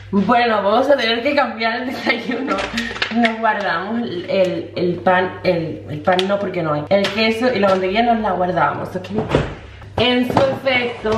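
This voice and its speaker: A woman's voice: medium pitch, roomy sound, noisy background. A young woman in her twenties filming an ironic, self-mocking parody vlog on a handheld camera at arm's length in her small apartment. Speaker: vlogger. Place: small apartment kitchen and bedroom.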